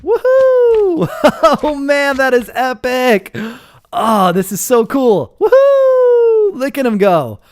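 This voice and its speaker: Man with a very lively, swooping delivery. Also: very high-pitched, takes it slowly, no echo. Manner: excitable, playful, swooping. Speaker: gaming video host. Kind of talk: casual Minecraft roleplay commentary.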